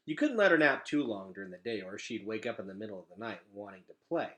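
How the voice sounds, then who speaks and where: A man reads a children's story aloud with an expressive delivery. His voice is bright and forceful, moving widely up and down in pitch. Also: fast talker. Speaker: read-aloud reader.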